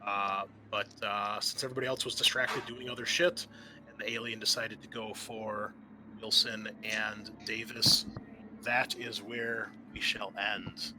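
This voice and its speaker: A man's voice: lowish voice, level tone, measured pace, background noise. A man hosting as game master, narrating a tabletop roleplaying game in a casual, often profane manner.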